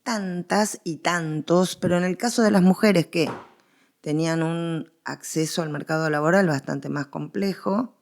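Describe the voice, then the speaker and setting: Argentine woman explaining, with a creaky lowish voice. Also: a little slow. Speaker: interviewed historian and guest expert. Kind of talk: conversational scholarly interview.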